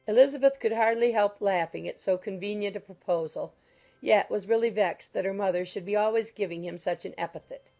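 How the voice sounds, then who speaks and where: A woman's voice: mid-range voice, a little fast, warm tone, soft background music. A woman narrating a classic novel as a scripted audiobook.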